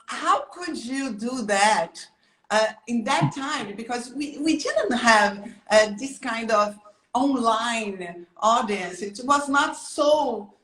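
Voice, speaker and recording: A Brazilian woman in her forties with a slightly high voice, hosting an interview in English and often pausing to find her words. Her voice rings in an echoey room on a video call. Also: fairly bright tone. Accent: Brazilian Portuguese. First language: Portuguese. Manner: hesitant, searching for English words. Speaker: host.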